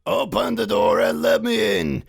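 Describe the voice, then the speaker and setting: A man playing a hungry, menacing wolf in a children's story, growling out slow, drawn-out threats. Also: fairly low pitch, huge pitch swings, no room sound, clean studio sound, faint music behind, heavily acted. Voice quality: gravelly, growling. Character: hungry menacing big bad wolf, male. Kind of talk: children's storybook character acting.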